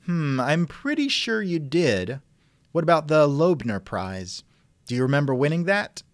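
A man's voice: on the high side, very animated, unhurried, dry recording, studio quality. A man hosting a conversational interview, asking questions in a wry, skeptical manner. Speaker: interviewer, host. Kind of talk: reenacted text-chat interview, conversational.

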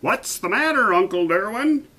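A man's voice: sits high, lively intonation, moderate pace, sharp tone, voice pushed up, exaggerated voice, poor audio. A man voicing a proud, mean little boy duke in a storybook, haughty, sneering and petulant.

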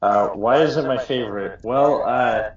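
A man's voice: mid-range voice, unhurried. Hesitant man in his twenties, lots of ums; distant, very echoey. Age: 20s. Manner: hesitant, many fillers. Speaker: co-host. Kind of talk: casual group chat. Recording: echoey room, distant mic, dull sound.

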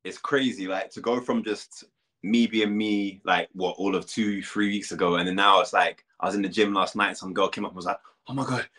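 Fast-talking low-voiced man. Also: fairly even intonation, sharp tone. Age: 30s. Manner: chatty, lots of "like" fillers. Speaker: guest. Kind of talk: casual interview chat.

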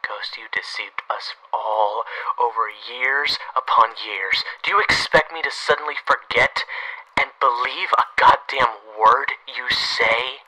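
A man acts out a sardonic male survivor returned from presumed death in an audio drama. His voice is mid-pitched and piercingly bright, with a sharp edge. He speaks with bitter accusation. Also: measured pace, low-quality recording. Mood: bitter, mocking. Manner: sarcastic, accusing.

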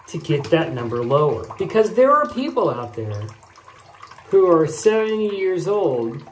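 A man's voice: on the high side, slight background noise. Chatty man in his forties, echoey bathroom. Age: 40s. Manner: chatty, rambling, folksy explanations. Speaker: shaving vlog host. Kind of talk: casual chat while shaving. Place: small home bathroom, indoors.

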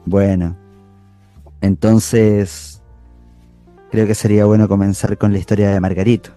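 A man's voice: fairly low pitch, flat delivery, measured pace, dark tone, faint music behind, lightly acted. A man playing a wry, teasing writer in a radio drama. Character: sickly, teasing male writer in quiet night conversation.

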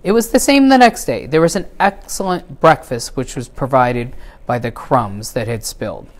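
A middle-aged man reads a children's story aloud in a measured, expressive way. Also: mid-range voice. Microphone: lapel mic.

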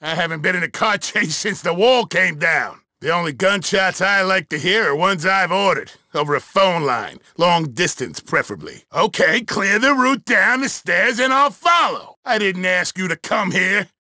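Irritable, forceful older official. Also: male voice, high voice, animated, moderate pace, few pauses, no echo, studio quality, exaggerated voice. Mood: grumpy. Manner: grumbling, barking orders. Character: irritable, bossy older male intelligence official. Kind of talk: video game character dialogue.

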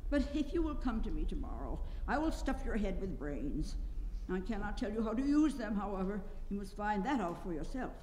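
A woman's voice: medium pitch, big pitch swings, fairly quick, dark tone, lightly acted. A woman voicing a meek little old humbug wizard with a hesitant, kindly, evasive manner in a storybook reading. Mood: mild, reassuring. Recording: long hall reverb, clear background noise.

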